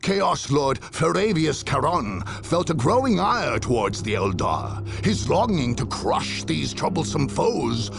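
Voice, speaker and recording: Game narrator, male: portentous and grave, creaky voice, swooping intonation, over music. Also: on the high side. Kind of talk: scripted dramatic narration.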